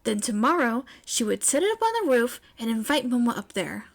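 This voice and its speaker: A woman reading fan fiction aloud as expressive, scripted story narration. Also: on the high side, a little fast, vocal fry. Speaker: fan fiction podfic reader.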